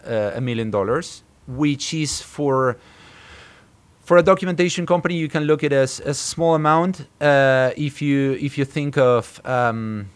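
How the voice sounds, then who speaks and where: Halting man from Argentina in an interview. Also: mid-range voice, clean tone. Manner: halting, hesitant phrasing with repeated words. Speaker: startup co-founder being interviewed. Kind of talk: interview answers.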